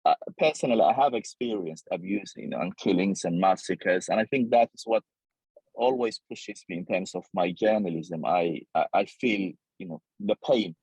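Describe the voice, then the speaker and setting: Husky low-pitched man with a Somali accent. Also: normal speed, darkish tone, no echo, poor audio. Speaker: journalist. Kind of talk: reflective interview account.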